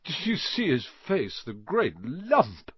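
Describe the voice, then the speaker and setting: A man's voice: a little high, huge pitch swings, slow, slightly rough, dry recording, lo-fi sound, pitched above the performer's own voice, full character voice. A man voicing a spiteful schoolboy bully in a storybook, with a taunting, mocking manner. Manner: taunting, drawling mockery.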